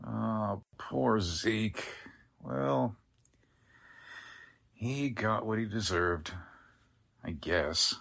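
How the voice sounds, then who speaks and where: Husky, lowish-voiced mild doctor played by a man. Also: very slow, pauses often, fairly bright tone, heavily acted. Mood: sober, explaining. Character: flustered, mild, well-meaning male small-town doctor. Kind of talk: 1940s radio drama acting.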